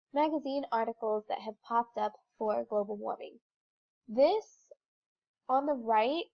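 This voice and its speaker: A young woman with a stuffy, congested voice from a lingering cold explains a slideshow step by step. She talks informally and sits a little high in pitch. The recording sounds dull and lo-fi. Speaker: student presenter.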